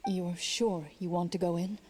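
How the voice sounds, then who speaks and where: An actress playing a stern, composed game master, narrating a video game adventure in a measured, solemn storytelling manner. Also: female voice, fairly low pitch, little pitch movement, noisy background, close to an everyday voice.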